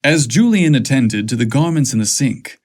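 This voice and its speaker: A man's voice: medium pitch, animated, normal speed. A man narrating scripted romantic fiction as a podcast storyteller.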